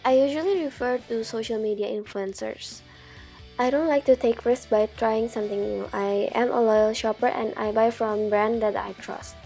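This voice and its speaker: A woman's voice: on the high side, moderate pace, creaky, dark tone. Young woman student from Indonesia, plain careful journal read, faint music bed. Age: young adult. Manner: plain, careful reading with a few slips of grammar. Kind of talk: read-aloud video journal narration.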